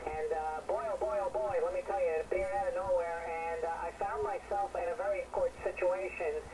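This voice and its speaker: A man's voice: high-pitched, steady tone, fairly quick. A man checking in on amateur radio, giving a jovial, rambling, folksy report over a shortwave link. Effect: shortwave radio transmission. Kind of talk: casual report and anecdote over ham radio. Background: radio noise.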